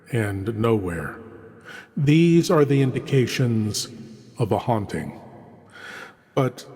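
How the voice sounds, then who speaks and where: A man playing a theatrical, grandiose horror host, introducing dark and uncanny tales in an ominous, deliberate way. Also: mid-range voice, husky.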